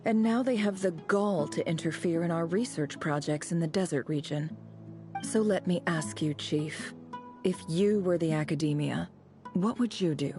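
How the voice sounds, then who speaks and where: An actress playing a smug, scheming ambassador in a video game, speaking formally with condescending, silky menace. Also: female voice, fairly low pitch, moderate pace, natural voice. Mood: persuasive, cold. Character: smug, scheming woman posing as a haughty ambassador. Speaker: game voice actor. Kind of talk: dramatic video game voice acting. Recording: music under the voice. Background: game music.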